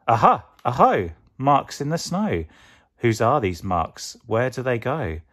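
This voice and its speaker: A man's voice: medium pitch, very animated, slow, fairly bright tone, voice pushed up, full character voice. A man voicing a brave, curious young female monster child in a storybook, exclaiming and asking eagerly. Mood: excited discovery. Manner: exclamatory, questioning.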